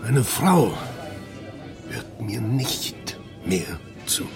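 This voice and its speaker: An actor playing an amorous, self-pitying middle-aged boss in a radio drama, speaking in a slow, halting, sentimental way. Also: male voice, mid-range voice, sing-song, heavily acted. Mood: sentimental, wooing. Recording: music under the voice.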